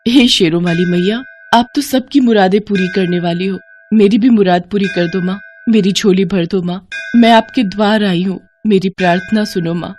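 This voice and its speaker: A woman voicing a kind, pious young daughter-in-law, gentle and earnest, in a moral story. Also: mid-range voice, normal speed, soft background music, close to an everyday voice. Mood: devout pleading.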